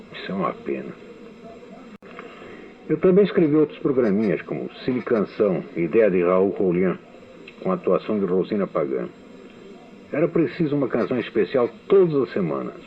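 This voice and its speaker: Low-voiced man recounting memories on old radio. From Brazil. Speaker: radio novelist giving a testimony. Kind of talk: archival spoken memoir. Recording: tinny lo-fi archival radio recording.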